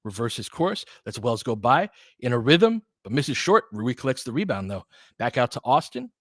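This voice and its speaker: A man's voice: mid-range voice, sing-song, normal speed, no echo. Man calling casual basketball play-by-play, dry sound. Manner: clipped phrases, informal asides. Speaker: play-by-play commentator.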